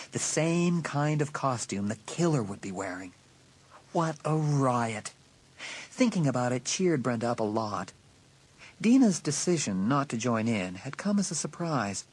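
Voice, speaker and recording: A man's voice: mid-range voice, darkish tone, breathy edge. A man giving a dramatic, scripted narration of an audiobook story.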